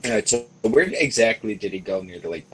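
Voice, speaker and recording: A man with a low, rough-edged husky voice plays a tabletop game. He talks casually and tentatively, working out his moves aloud and repeating himself as he goes. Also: lively intonation, fairly quick, soft background music.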